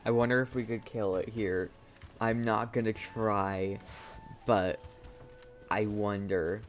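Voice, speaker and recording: A man's voice: lowish voice, fairly even intonation, takes it slowly. A man giving casual gameplay commentary, laid-back and musing aloud to himself. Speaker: game streamer. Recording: game music behind.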